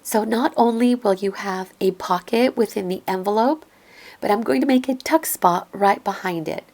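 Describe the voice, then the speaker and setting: A woman explaining a craft project step by step in a calm voice-over, her voice slightly hoarse and raspy from a lingering cough. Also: mid-range voice, normal speed. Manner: gentle step-by-step explaining, thinks aloud. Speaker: crafting tutorial host. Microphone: voice-over recorded apart from the video.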